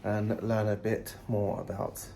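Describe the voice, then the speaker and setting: A man's voice: fairly low pitch, flat delivery, unhurried, warm tone, slight background noise. A man talking casually and chattily as a travel vlogger. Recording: clear music behind the voice.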